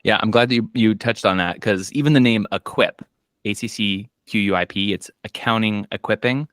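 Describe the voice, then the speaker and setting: A man in his thirties from Minnesota with a Minnesota accent, an accountant and business owner telling his story in a casual chat, relaxed and full of fillers. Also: on the low side, normal speed, dry recording, studio quality. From Minnesota, US Midwest. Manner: relaxed storytelling with frequent fillers and quoted asides. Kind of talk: casual podcast conversation. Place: home office. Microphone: video call.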